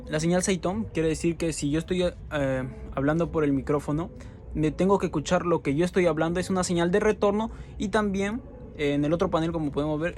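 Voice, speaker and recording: A young adult man giving an explanatory student presentation of lab work, halting and correcting himself as he goes. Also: on the high side, slight background noise.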